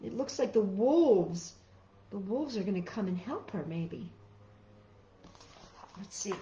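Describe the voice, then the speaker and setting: A woman hosting a storytime for children, reading aloud in an expressive, casual way and putting questions to young listeners. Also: mid-range voice, slow, reverberant, highs cut off, slight background noise. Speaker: storytime host reading picture books to children.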